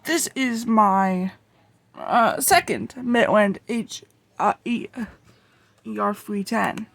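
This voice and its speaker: Someone casually showing off a collection in a home-made vlog, talking in a halting, rambling way. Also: medium pitch, gravelly, a bit bright. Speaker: video creator showing a radio collection. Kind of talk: casual show-and-tell vlog.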